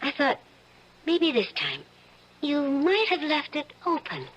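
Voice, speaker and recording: An actress playing a troubled, gently stubborn and suspicious wife in an old-time radio drama, hesitant and searching. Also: female voice, high-pitched, huge pitch swings, slow pace, lo-fi sound, exaggerated voice. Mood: withdrawn, suspicious.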